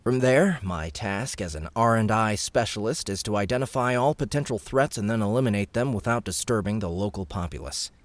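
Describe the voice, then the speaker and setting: A male narrator voicing an eager, formal naval petty officer who talks in a brisk, proper, slightly flustered way. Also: lowish voice, animated, no echo, natural voice. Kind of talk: audiobook character voice.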